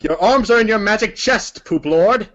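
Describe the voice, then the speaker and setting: A man's voice: high voice, expressive, normal speed, fairly bright tone. A man reading scripted narration for a read-along story performance, in a dull recording.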